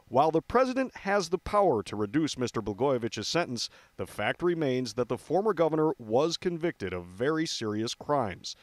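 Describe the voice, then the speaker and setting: A man's voice: mid-range voice, no room sound. Male TV reporter, American, middle-aged, mid-range voice, scripted news delivery.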